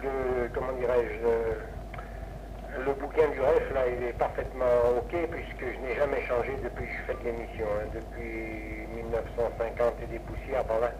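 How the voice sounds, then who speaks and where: A man's voice: mid-range voice, level tone, normal speed. A man, chatting casually and hesitantly as an amateur radio operator over a radio transmission, rambling along. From France. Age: 50s. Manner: rambling, hesitant, chuckling asides. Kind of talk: casual ham radio contact. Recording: noisy, muffled 1960s amateur radio recording.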